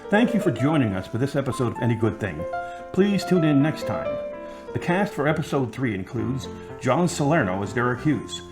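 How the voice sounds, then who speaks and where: Guitar music plays behind a man narrating an audio drama. His medium-pitched voice moves up and down in a lively way as he reads from a script. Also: moderate pace.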